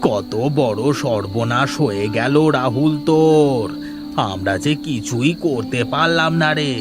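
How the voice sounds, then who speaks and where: A man plays a cartoon villager. He speaks very slowly, lamenting with sympathy. Loud music plays behind him. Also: mid-range voice, lively intonation. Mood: sorrowful. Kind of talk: animated fairy-tale cartoon acting.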